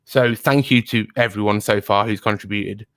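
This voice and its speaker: Relaxed man thanking donors, dry sound. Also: on the low side, normal speed, no echo. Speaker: guest streamer. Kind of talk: casual livestream chat.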